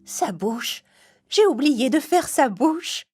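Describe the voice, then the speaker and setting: A woman playing a doting, excitable old lady baker in a children's cartoon, fond and given to exclaiming. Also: high voice, slow. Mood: fond delight.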